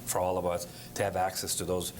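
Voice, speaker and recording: A man from the Dominican Republic answers the host's questions in a plain, earnest way. His voice is low and he talks quickly, with a faint rumble behind him. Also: monotone. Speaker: panel guest. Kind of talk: talk show interview.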